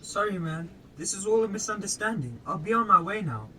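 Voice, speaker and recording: Young guy acting scared apologetic hostage, high voice, quiet noisy recording, radio play. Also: expressive, a little fast. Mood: scared, pleading. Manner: pleading. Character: nervous, apologetic male civilian held by robbers. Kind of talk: amateur radio drama acting.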